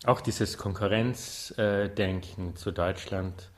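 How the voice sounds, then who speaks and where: A man's voice: low voice, steady tone, slow pace. A man giving casual, spontaneous answers as an interviewee.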